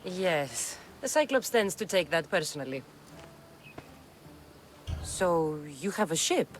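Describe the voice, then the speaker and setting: A woman's voice: lowish voice, very animated, slow pace, a little hiss or hum. An actress playing a tough, dry, protective young mercenary woman in a video game, speaking in a blunt, wry way. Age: young adult. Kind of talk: video game cutscene acting.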